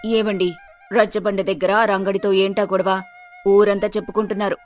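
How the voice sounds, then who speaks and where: A woman's voice: medium pitch, level tone, normal speed, lightly acted. A woman playing a village headman's sensible wife, reproachful and exasperated with her husband in a moral story. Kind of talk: dramatised moral story dialogue. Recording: music behind the voice.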